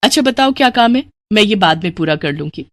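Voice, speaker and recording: A woman's voice: medium pitch, animated, brisk, no echo, lightly acted. A woman voicing a cheerful young daughter who talks in a sweet, coaxing way.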